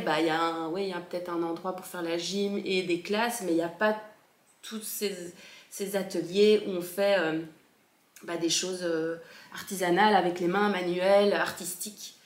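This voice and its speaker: A woman fondly recalling her school years in a relaxed chat, often pausing and restarting her sentences. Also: fairly low pitch. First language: French. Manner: hesitant, many fillers and restarts. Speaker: former Steiner school pupil. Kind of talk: casual recollection. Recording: echoey room.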